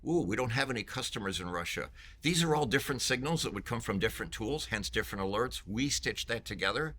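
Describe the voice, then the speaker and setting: A man's voice: mid-range voice, expressive, quick pace, sharp tone. A man in marketing, talking up his company in a playful, salesy, joking way during a conference interview. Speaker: vendor marketing executive.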